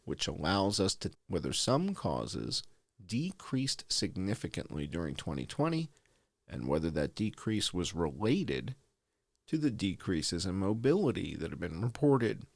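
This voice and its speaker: A man reads a formal research report aloud in a fairly low voice. His intonation swings widely, with deliberate, emphatic stress. The sound is close and dry, free of room echo. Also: very animated, gravelly. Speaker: host.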